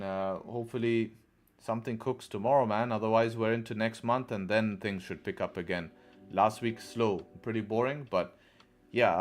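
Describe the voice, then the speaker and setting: A young man from Dubai giving casual, relaxed commentary on a live trading stream, repeating phrases and calling viewers bro and man, into a headset in a small room. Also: on the low side.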